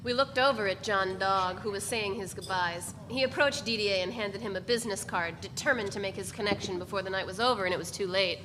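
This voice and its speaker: A woman narrating literary fiction in a live dramatic reading, measured and deliberate with dramatic pauses. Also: mid-range voice. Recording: reverberant hall, faint noise.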